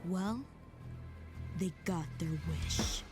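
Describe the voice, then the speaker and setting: An actress playing a fierce, bitter young armored warrior for a video game, speaking in a curt, combative, defiant way. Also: female voice, lowish voice, extremely slow. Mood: cold, bitter. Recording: loud music over the voice.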